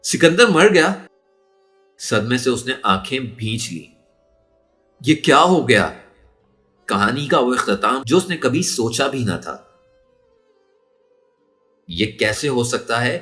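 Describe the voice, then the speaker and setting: A man's voice: mid-range voice, very animated, slow, long pauses, a bit bright, faint music behind. A man narrating a story in a dramatic, emotive and suspenseful way. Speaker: audiobook narrator.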